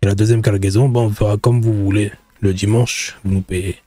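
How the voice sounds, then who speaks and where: Man playing a cautious haggling buyer: low, mellow, unhurried bargainer, dry close mic. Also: fairly even intonation, no echo, soft background music, close to an everyday voice. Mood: wary haggling. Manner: deliberate, bargaining, casual street French. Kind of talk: roleplay in character.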